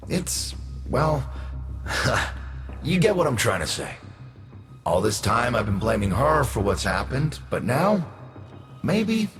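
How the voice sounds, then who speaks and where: An actor playing a wounded, well-meaning father in a video game drama, earnest and halting in his delivery. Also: mid-range voice.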